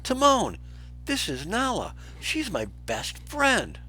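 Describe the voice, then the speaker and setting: A young male lion from a storybook, acted out by a man reading aloud. He is earnest yet defensive, a guilty runaway prince, speaking with lively movement in pitch. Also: takes it slowly, breathy edge, full character voice. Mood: surprised, glad.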